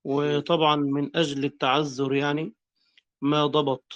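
A man, the teacher, adding brief flat remarks on a text being read to him. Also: a little high, normal speed, dull audio.

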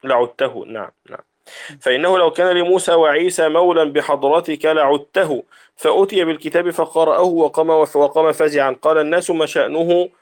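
A man reading a classical Arabic text aloud to his teacher, in steady recitation of reports and narrator chains. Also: fairly high pitch, fairly quick.

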